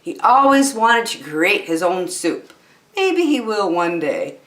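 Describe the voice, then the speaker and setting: A woman reading aloud to children as a story time host, expressive and drawing out her words. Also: mid-range voice, slow pace. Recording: roomy, echoey room sound.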